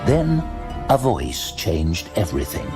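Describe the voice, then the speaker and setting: A man's voice: low voice, wildly swooping pitch, takes it slowly, vocal fry, music in the background. A man narrating a scripted fantasy audio drama in a dramatic storytelling style.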